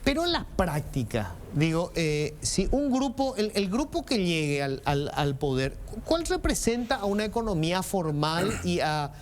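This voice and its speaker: A man hosts a political talk show, his voice high for a man. He asks long, winding questions padded with hesitations. A faint background noise sits under him. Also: big pitch swings, a little slow, few pauses. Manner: hesitant, filler-heavy questions. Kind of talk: hosting a political interview.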